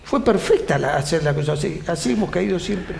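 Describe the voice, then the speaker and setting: A man's voice: high voice, animated, brisk, vocal fry, darkish tone, reverberant, slight background noise. A man with an Argentine accent hosting an interview, laying out his points emphatically in lists, with many interjections. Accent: Argentine Spanish. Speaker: TV interview host. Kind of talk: interview questions and commentary.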